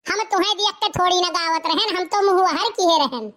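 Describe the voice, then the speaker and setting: A mischievous Awadhi village lad in a cartoon comedy. His voice is extremely high and squeaky, like a child's. He protests and wisecracks in a whiny, defiant, argumentative way. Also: normal speed, sharp tone. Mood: defiant complaining. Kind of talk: comic cartoon dialogue.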